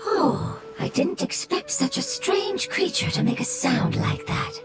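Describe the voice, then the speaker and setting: An actress voicing a small, polite fungus creature in a video game, speaking slowly and breathily in awe. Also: female voice, sits high, sing-song. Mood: awed. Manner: slow, breathy, awed. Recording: game soundtrack underneath. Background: loud game music.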